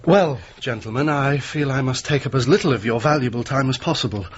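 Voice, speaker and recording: A man acts a young English engineer in a Victorian radio play, earnest and shaken by his ordeal. His voice is husky and mid-pitched, his phrasing formal and courteous. The recording is somewhat dull. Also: fairly quick, natural voice. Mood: polite, weary. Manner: formal, polite, articulate. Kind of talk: radio drama acting with formal storytelling.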